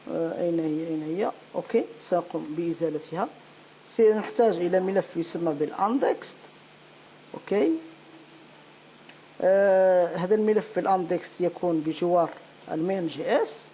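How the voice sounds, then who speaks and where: A woman with a lowish, mellow voice teaches a software tutorial step by step. She speaks off the cuff, haltingly, often repeating a phrase while looking for the next word. There is some noise behind her on a home-made recording. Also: poor audio. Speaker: programming tutorial instructor. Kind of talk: unscripted step-by-step screencast tutorial.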